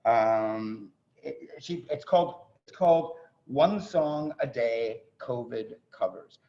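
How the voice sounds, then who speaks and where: Guy doing a casual host intro, fry in his voice, stumbles and repeats words, dull zoom-type audio. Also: mid-range voice. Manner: halting, repeats words and phrases. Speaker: host introducing a theatre show. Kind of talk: informal welcome speech with thanks and shout-outs. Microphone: video call. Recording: muffled video call audio.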